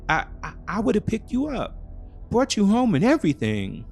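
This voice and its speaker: A man's voice: sits high, wildly swooping pitch, normal speed, creaky, darkish tone, slightly rough, faint music behind, voice pushed up, exaggerated voice. A man playing a nervous, defensive cheating boyfriend who stammers out pleading excuses in a thriller story. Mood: anxious concern.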